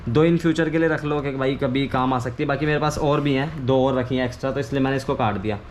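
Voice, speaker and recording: Casting: male how-to vlogger, average pitch, informal and explanatory, with some background noise. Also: fast. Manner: informal, explanatory, repeats himself. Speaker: video creator giving a how-to. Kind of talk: casual hands-on tutorial chat. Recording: clearly noisy ambient background.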